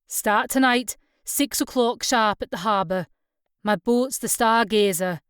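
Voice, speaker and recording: A woman narrator plays a Scottish fishing skipper, a man in his thirties. He is brisk, steady and fair-minded in this storybook dialogue. The voice is clean and close, with a dry sound. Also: on the high side, smooth, no room sound, natural voice. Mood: firm. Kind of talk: audiobook character dialogue.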